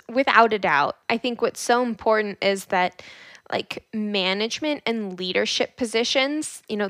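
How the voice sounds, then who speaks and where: A woman interviewer chats with her guest, often agreeing and building on the guest's points. She speaks fluently at a middle pitch. The recording is dry, with no room sound. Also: a bit bright, no echo. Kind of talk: casual interview.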